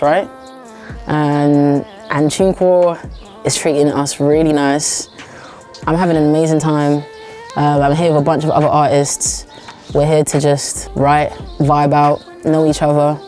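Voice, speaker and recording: A young woman speaks low in her range. Her tone is dark and mellow. She talks in a relaxed, loose way, padding her sentences with "like" and "um" as she answers interview questions. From South London. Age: 20s. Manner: relaxed, many "like" and "um" fillers. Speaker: recording artist. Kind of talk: casual interview talk. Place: villa.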